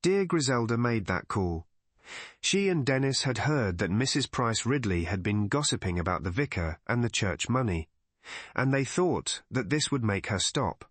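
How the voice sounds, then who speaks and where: A man voicing a shrewd, calm elderly woman detective in an audiobook mystery, explaining in a measured, methodical way. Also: mid-range voice, dry recording, close to an everyday voice.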